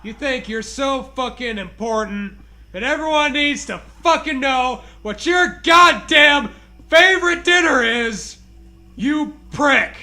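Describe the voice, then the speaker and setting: Comedy co-host, male, high and intensely sharp voice, sarcastic casual riffing, sweary mock outrage. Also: expressive, slow. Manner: sarcastic, profane mock indignation.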